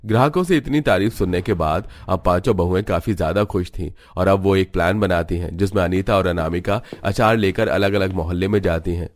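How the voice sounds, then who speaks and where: Guy narrating a cartoon story, scripted read, lowish voice. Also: no room sound, studio quality.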